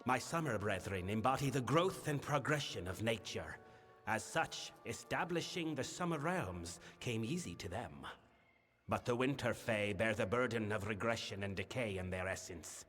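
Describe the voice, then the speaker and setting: A male actor voicing a grave, burdened fae king in a fantasy game, speaking formally and in a measured, solemn manner. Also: lowish voice, expressive, fairly bright tone. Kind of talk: scripted fantasy game dialogue. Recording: long reverberant hall, music behind. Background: music.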